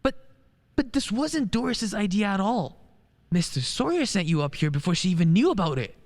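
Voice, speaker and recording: A woman's voice: mid-range voice, very animated, moderate pace, darkish tone. A woman acting an earnest, well-meaning male lawyer and loyal friend in a radio play. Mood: concerned, pleading. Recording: echoey hall.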